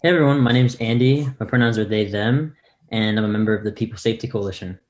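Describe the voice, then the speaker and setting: A man's voice: lowish voice, fast, a little mellow, muffled recording. Casual, opinionated male activist panelist. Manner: informal, acts out imagined quotes.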